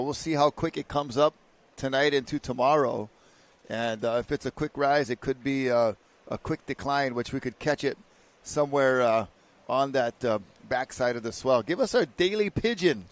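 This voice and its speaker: A man from Hawaii, giving relaxed live surf contest commentary and slipping into local pidgin slang. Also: medium pitch, moderate pace. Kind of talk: live sports commentary, casual banter.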